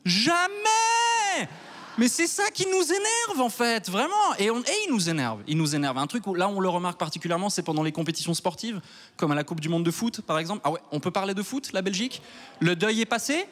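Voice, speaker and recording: A man in his thirties from Switzerland doing a stand-up set on a large theater stage with a handheld mic. He talks in a wry, self-mocking way and works up to loud mock outrage. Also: high-pitched, sing-song, brisk. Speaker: stand-up comedian. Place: large theater stage before a live audience.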